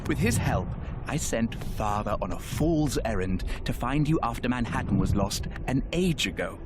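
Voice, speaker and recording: An actor playing a scheming trickster god with a grandiose, kingly manner in a video game, amid loud noise. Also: male voice, expressive, moderate pace, lightly acted. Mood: smug gloating. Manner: grandiose, archaic, theatrical. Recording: loud noise and rumble behind.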